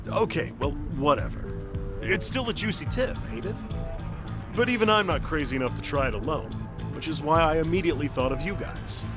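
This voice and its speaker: A cocky American game soldier voiced by a man with a lively, swooping delivery. He is slangy and wheedling, always cajoling like a salesman, with faint music underneath. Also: on the high side, a little fast, natural voice. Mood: sly, cajoling. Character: cocky, wheedling male soldier pitching shady tips. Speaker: voice actor in a game cutscene. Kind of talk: game cutscene acting.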